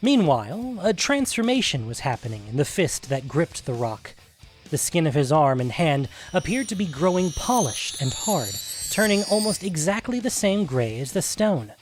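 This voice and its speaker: Higher-pitched male fantasy story narrator. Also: big pitch swings, normal speed, soft background music.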